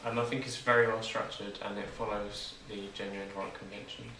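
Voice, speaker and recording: An eighteen-year-old man answering interview questions in a measured, tentative way. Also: fairly low pitch, level tone, bright, forceful tone, a little hiss or hum. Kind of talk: casual answers. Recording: echoey room, far from mic.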